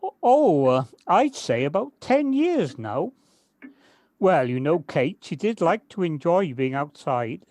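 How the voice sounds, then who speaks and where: An actor playing a kindly, modest gardener in an audio drama, hesitant and polite with slight stammers. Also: male voice, on the high side, very animated, takes it slowly, a little mellow, no room sound. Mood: gentle, modest. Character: kindly, hesitant village gardener, Alan, modest and nature-loving. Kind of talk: amateur audio drama acting.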